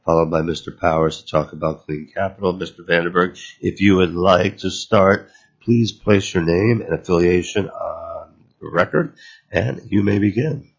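A committee chair runs the hearing in a deep, gravelly voice with a husky edge. He reads procedure formally and halts often with uhs. The recording sounds dull and muffled. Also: normal speed.

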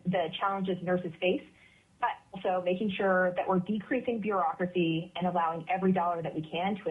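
Woman testifying over a phone line. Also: mid-range voice. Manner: earnest, emphatic, some fillers. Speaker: emergency physician testifying. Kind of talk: formal legislative testimony.

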